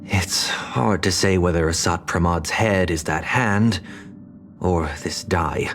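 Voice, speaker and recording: A man playing a grave, reflective veteran in a video game, with measured speech. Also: sits low, over music. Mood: doubtful.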